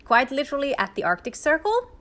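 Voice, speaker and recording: A woman's voice: high-pitched, very animated, fast talker, fairly bright tone. Finnish-accented woman in her 30s, chatty, lots of likes and ums, little laughs here and there. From Finland. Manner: chatty, many "like"s and "um"s, frequent light laughs. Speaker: podcast host, dance minister. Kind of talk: casual personal testimony.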